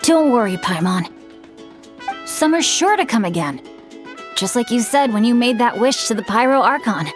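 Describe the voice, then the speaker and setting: A woman's voice: fairly high pitch, animated, moderate pace, long pauses, lightly acted. A woman voicing a youthful, good-natured adventurer in a video game, with an earnest manner. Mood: earnest, reassuring. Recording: music behind.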